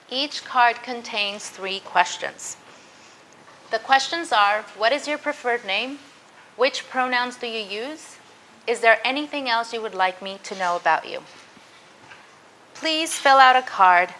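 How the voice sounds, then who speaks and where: Bright-voiced woman teaching a workshop. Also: mid-range voice, a little hiss or hum, low-quality recording. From Mexico. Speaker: university professor. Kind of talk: interactive workshop presentation.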